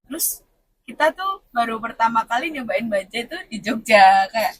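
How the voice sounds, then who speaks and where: A young woman intern telling stories in a lively casual chat. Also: a little high, normal speed, far from the mic. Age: young adult. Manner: lively storytelling. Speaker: intern guest. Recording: a bit off-mic, faint background noise.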